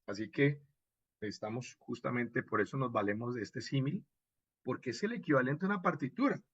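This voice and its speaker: A man giving an informal online lecture as an instructor, expressive and didactic, often checking in with short questions. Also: medium pitch, moderate pace, husky, no echo, highs cut off. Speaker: radio drama instructor.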